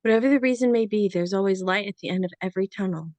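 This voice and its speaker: Woman acting feisty loyal female passenger, emotional, urgent, medium pitch, dry recording, drama script read. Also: fast, darkish tone, no room sound, close to an everyday voice. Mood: angry. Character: loyal, feisty woman trapped on a hijacked jet. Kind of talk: screenplay table read acting.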